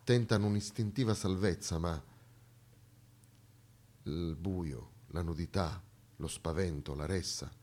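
A man's voice: low-pitched, darkish tone. Man narrating history in theatrical style. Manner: declamatory, deliberate, storytelling delivery. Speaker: stage actor and narrator.

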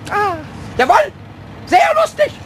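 A man playing a cheeky, defiant little invisible kobold in a German children's radio play, with a squeaky, creaky voice and excitable, stammering grumbles. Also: unhurried, exaggerated voice. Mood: excited scheming. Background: street traffic noise.

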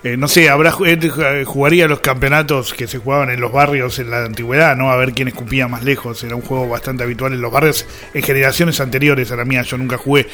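A man's voice: medium pitch, steady tone, quick pace, few pauses, pushed and bright. A man with a Buenos Aires Argentine accent, co-hosting a radio show with casual, chatty commentary. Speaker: radio co-host.

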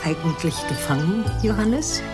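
A woman's voice: on the low side, lively intonation, normal speed, over loud music, natural voice. An older woman acting a down-to-earth grandmother in a children's radio play, dry and matter-of-fact. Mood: calm, fond. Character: down-to-earth old grandmother, mildly scolding.

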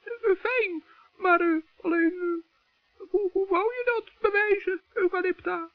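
A man voicing a good-hearted, stubborn little forest gnome in a children's radio play, squeaky, creaky and earnest. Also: slow, no room sound, heavily acted. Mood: hesitant, earnest. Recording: dull, dry vintage radio recording.

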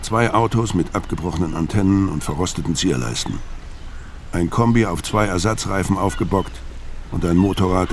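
An actor playing a gruff, laconic, sardonic killer on the run, hard-boiled and world-weary, in a radio crime drama. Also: male voice, low-pitched, background noise, full character voice. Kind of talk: first-person crime narration and radio drama acting.

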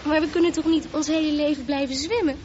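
High-pitched, tender, unsure teen girl for radio play, acted by a woman, on a noisy recording. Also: steady tone, fast, a little mellow, muffled recording, natural voice. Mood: hesitant, tender. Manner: hesitant, searching for words. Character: hesitant, affectionate teenage girl. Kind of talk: radio drama acting.

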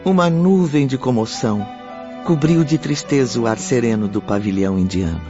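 A man's voice: medium pitch, normal speed. Solemn male radio-drama narrator over music. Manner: solemn, literary. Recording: dull lo-fi, music behind.

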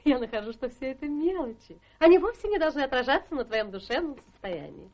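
An actress playing a charming, ambitious young society wife in a radio play, coquettish and breezily self-assured in a theatrical manner. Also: female voice, high voice, sing-song, fairly quick, highs cut off, full character voice. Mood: breezy, reassuring.

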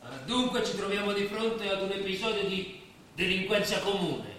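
An actor playing a probing newspaper reporter in a stage drama, formal and inquisitive. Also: male voice, sits high, expressive, moderate pace, fairly bright tone. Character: probing male newspaper reporter questioning police. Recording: echoey theatre stage, distant, faint noise.

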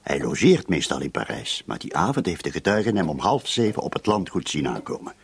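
Dogged, low-voiced police commissioner. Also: male voice, big pitch swings, fairly quick, creaky, slight background noise, full character voice. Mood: suspicious, reasoning. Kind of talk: radio play acting.

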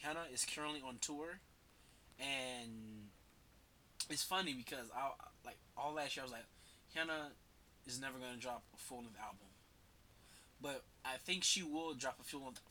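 A man's voice: mid-range voice, pushed and bright, slight background noise. A man with a head cold, talking casually and rambling as a podcast host, slangy and swearing freely. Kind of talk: casual solo commentary.

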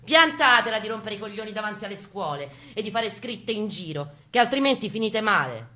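A woman giving a theatrical, expressive first-person reading from a book, in a storytelling style. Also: mid-range voice, brisk. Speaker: reader performing a book excerpt. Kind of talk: dramatic first-person literary reading with guitar. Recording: live reading over acoustic guitar. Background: acoustic guitar music.

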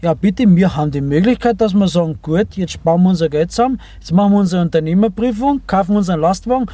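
A man talks casually about his views as a working driver. His voice sits high for a man, yet the tone is mellow and rounded. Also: animated, fast talker, no room sound. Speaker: truck driver. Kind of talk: casual opinion in an original-sound radio collage.

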